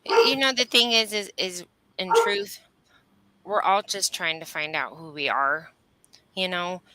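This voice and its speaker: A woman's voice: on the low side, animated, unhurried, very noisy. A woman explaining things earnestly in a conversational coaching style on a video call, often checking in with the listener. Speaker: human design reader and coach. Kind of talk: conversational coaching on a live stream.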